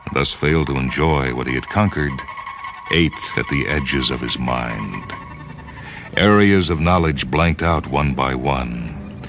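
A man with an extremely low American voice narrates a story in a measured, solemn manner. He sounds like the host of a radio fiction program, with music playing beneath his words. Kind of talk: scripted dramatic narration. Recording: music under the voice.

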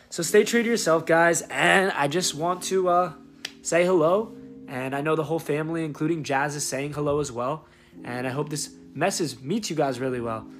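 A man giving an earnest, upbeat message of encouragement into a phone at home. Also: fairly high pitch. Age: 20s. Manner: effusive, earnest. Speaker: guest introducer, activist. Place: indoors in a room at home. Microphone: phone or webcam at arm's length. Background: guitar music.

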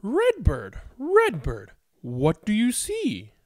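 A man in his thirties, host of a children's read-aloud, speaking in an expressive, sing-song way. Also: high-pitched, takes it slowly, no echo, clean studio sound. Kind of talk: picture-book read-aloud with casual introduction. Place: indoors, small room at home.

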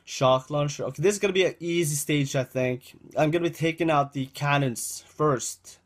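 A man's voice: a little high, moderate pace. Young man rambling over gameplay. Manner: rambling, repeats phrases, addresses viewers as guys. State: dry throat. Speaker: gaming channel host. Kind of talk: casual gameplay commentary.

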